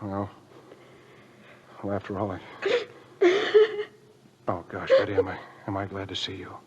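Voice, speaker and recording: An actor playing an earnest, easily exasperated young husband on a farm in old film comedy style, stammering when emotional. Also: male voice, low-pitched, completely flat delivery, unhurried, background noise. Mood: tender, sheepish. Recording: dull old film soundtrack.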